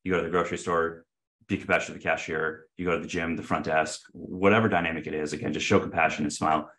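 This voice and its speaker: A man's voice: deep voice, little pitch movement, quick pace, a bit bright. A man in his thirties, a company chief guesting on an interview show, speaking earnestly with many fillers and restarts. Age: 30s. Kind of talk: casual interview talk.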